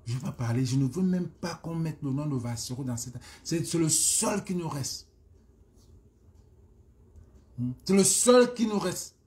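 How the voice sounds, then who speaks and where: A man's voice: a little high, normal speed, darkish tone. A man with a Cameroonian accent ranting informally as a social media commentator, emphatic and hammering phrases again and again. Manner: emphatic, repeats phrases insistently. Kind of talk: informal live-stream rant.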